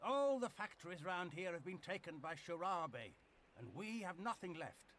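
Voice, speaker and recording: An actor playing a loyal old retainer in an anime game cutscene, earnest and theatrically pleading. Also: male voice, high voice, sing-song, moderate pace, fairly bright tone. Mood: urgent pleading. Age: older. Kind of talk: English-dubbed anime game cutscene acting.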